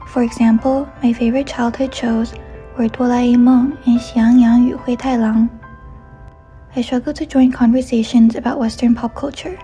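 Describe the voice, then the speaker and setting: A woman in her twenties from New York City, whose first language is Chinese, reading a reflective personal voice-over in a soft, measured way. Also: on the high side. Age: 20s. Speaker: narrator. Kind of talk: scripted personal narration.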